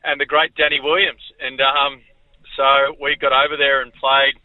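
Relaxed Australian man on a tinny phone line. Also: mid-range voice, moderate pace, dry recording. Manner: relaxed, rambling, full of ums. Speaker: guest, former rugby league player. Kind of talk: casual interview.

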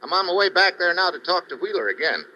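A man's voice: high voice, sing-song, fast talker, bright, forceful tone, a little hiss or hum, poor audio. A man playing a wisecracking foreign correspondent in an old radio drama, with a breezy tough-guy delivery. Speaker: lead actor, foreign correspondent. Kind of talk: 1950s radio drama acting. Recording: dry old radio recording.

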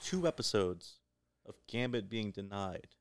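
A man with a lowish, creaky voice banters as a podcast co-host. He is irreverent and sweary, with a very animated rise and fall in his pitch. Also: unhurried. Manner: profane, irreverent. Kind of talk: casual joking banter.

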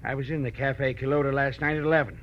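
An actor playing a shifty, hard-drinking American crook in 1940s radio style, rough and slangy. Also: male voice, mid-range voice, fairly even intonation, fast, lightly acted. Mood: defensive, menacing. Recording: dull, muffled old radio recording.

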